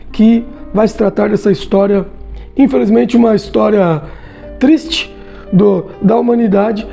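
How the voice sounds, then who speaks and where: A man's voice: very high voice, gravelly, dark tone, husky. A Brazilian man giving a casual comic book review, chatty and tagging his sentences with little check-ins. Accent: Brazilian Portuguese.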